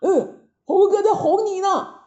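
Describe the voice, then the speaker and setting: A woman's voice: very high voice, measured pace, warm tone, pitched above the performer's own voice. A woman voicing a whiny, gullible male pig disciple who grunts hesitantly and tattles in a children's story. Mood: whining accusation. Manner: hesitant grunts, whining complaints. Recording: roomy, dull lo-fi sound.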